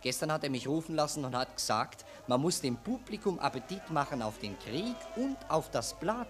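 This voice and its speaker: Actor as a pompous high-pitched Viennese reporter. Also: male voice, animated, normal speed, natural voice. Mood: self-important. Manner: declamatory, emphatic, oratorical. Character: pompous, self-important Viennese newspaper reporter, excitable and cynical. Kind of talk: 1940s German radio play acting. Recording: 1940s radio recording.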